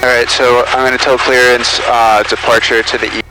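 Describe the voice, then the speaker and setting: A man's voice: medium pitch, flat delivery, brisk. A man who is a student pilot, speaking casually and making radio readbacks from inside a small airplane. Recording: noisy small-plane cockpit audio with engine and radio. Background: aircraft engine and radio noise.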